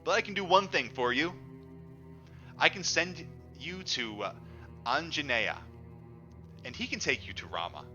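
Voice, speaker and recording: A man's voice: on the high side, animated, measured pace, long pauses. A man voicing a friendly, obliging tree spirit in a storybook tale, eager to help. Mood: eager, helpful. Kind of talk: acted audiobook dialogue. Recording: dull lo-fi audio, music underneath.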